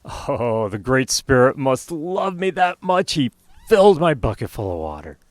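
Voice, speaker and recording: A man's voice: mid-range voice, very animated, unhurried, no room sound, pitched above the performer's own voice. A man voicing a flustered husband who fell asleep and rambles through excuses to his wife. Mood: startled.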